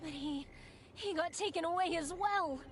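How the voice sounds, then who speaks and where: A woman's voice: very high-pitched, moderate pace, faint music behind. A woman playing a distressed female fireworks maker in a video game cutscene. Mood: upset, recounting.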